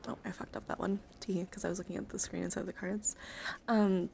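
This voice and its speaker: A woman streamer chatting in a soft-spoken ASMR style, hushed and often slipping into a whisper, close to the microphone. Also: medium pitch, little pitch movement, fast, vocal fry, a little hiss or hum. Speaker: livestreamer.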